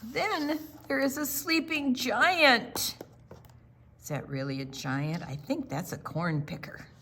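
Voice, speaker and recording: Slow, expressive woman reading aloud to children. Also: on the high side, a little hiss or hum. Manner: slow, deliberate phrasing with questions and asides to the listeners. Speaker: library storytime reader. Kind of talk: expressive read-aloud narration for young children.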